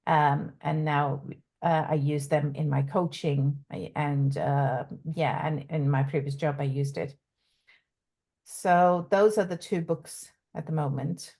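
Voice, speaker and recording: A middle-aged woman from South Africa, a coach and author, talking in a casual interview with many pauses and restarts. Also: low voice, fairly even intonation, gravelly, mellow. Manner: halting, many ums and restarts, laughs often.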